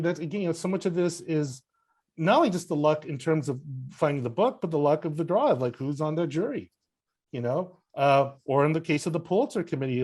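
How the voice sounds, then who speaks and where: A man speaking as a guest in a casual panel discussion, halting and emphatic as he circles toward his point. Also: on the high side, a little mellow. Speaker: podcast guest, critic and editor.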